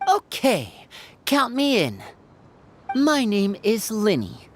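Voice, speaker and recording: A woman's voice: mid-range voice, wildly swooping pitch, slow, slight background noise. An easygoing young wandering hunter, matter-of-fact in a game story. Her voice sits mid-range and moves very animatedly from line to line. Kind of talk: video game voice acting.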